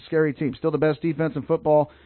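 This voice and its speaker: A man hosting a local sports radio show, chatting casually about football and high school games and rallying the fans. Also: level tone, fast talker, no echo. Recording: dry, phone-like narrow sound.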